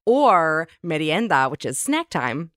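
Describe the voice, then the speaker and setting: A woman co-hosting a podcast, upbeat and quick to laugh in casual chat. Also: low-pitched, big pitch swings, a bit bright. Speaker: podcast co-host.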